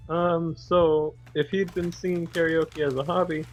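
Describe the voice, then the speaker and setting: Higher-voiced man talking casually over music. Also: flat delivery, a little slow.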